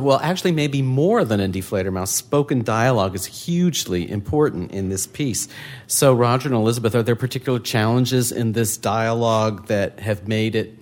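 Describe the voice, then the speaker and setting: A man moderating a panel discussion, asking articulate questions. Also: mid-range voice.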